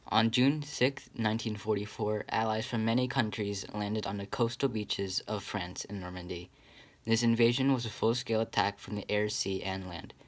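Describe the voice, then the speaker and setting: A man with a somewhat low voice reads a scripted news report on history. He delivers it as a radio newsreader. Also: fairly bright tone.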